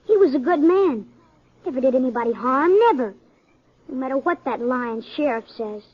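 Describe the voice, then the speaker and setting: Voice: high voice, animated, normal speed. An actor playing a grieving, defiant eleven-year-old American boy in a radio western, sullen and insistent. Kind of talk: 1950s radio drama acting. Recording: muffled 1950s radio recording.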